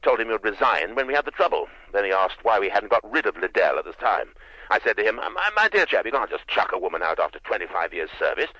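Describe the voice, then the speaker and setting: An actor playing a pompous, indignant older English knight with an upper-class accent, blustering and stammering through a telephone in a radio drama. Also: male voice, fairly low pitch, very animated, fast, bright, forceful tone, no room sound, muffled recording, exaggerated voice. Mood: blustering, defensive. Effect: telephone filter. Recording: thin band-limited telephone-style sound.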